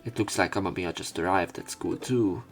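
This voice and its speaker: Low, gravelly man reading a story. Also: brisk, faint music behind. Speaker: let's player narrating a visual novel. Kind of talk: reading narration aloud, scripted narration.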